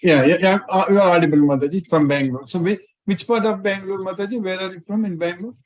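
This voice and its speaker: A man's voice: high voice, steady tone, quick pace. A man speaking as a spiritual teacher in a live online class, greeting students one by one and often repeating his greetings and short phrases. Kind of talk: live question and answer with students.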